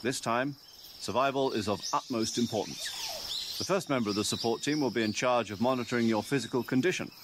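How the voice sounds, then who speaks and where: An actor playing an authoritative older British military commander, giving a measured briefing in a video game. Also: male voice, medium pitch, lightly acted. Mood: measured instruction. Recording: game audio with loud insect noise.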